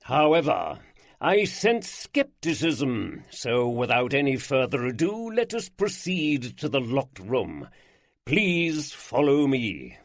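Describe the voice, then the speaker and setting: A man playing a flamboyant aristocratic lord with a rich baritone and an aristocratic drawl, speaking in slow, grand, emphatic phrases. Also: sing-song, dry recording, clean studio sound. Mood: grandiose.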